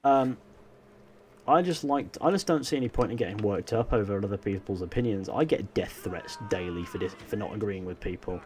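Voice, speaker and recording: A young man chats loosely on a gaming livestream, drifting between jokes and replies to viewers' questions. His voice sits a little low. A faint ambience hums behind him. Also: a little fast, darkish tone, a little hiss or hum. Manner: rambling, joking, answers chat questions. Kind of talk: casual chat while playing a game live.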